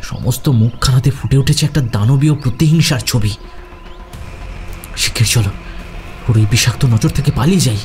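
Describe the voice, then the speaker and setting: A man acts a frightened young adventurer in an audio drama. His medium-pitched voice is excitable and bewildered, full of alarm. Faint music plays behind him. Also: moderate pace, long pauses, close to an everyday voice. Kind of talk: Bengali audio story acting.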